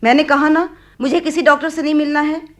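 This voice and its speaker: A woman's voice: high-pitched, fairly even intonation, a little fast, natural voice. A woman acting a bitter, stubborn twenty-year-old sick daughter in a radio play, snapping petulantly. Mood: bitter, despairing.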